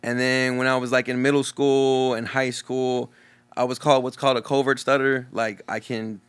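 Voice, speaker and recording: A man's voice: medium pitch, flat delivery, moderate pace, fairly bright tone, smooth. A young man from Texas with a Texan accent who stutters openly, talking casually and earnestly as a guest DJ in an interview. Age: young adult.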